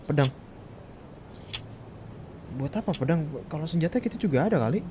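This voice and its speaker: A man's voice: on the high side, animated, moderate pace, noisy background, lightly acted. A man playing an impatient, hot-headed young adventurer in a Minecraft roleplay. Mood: doubtful. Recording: music behind the voice.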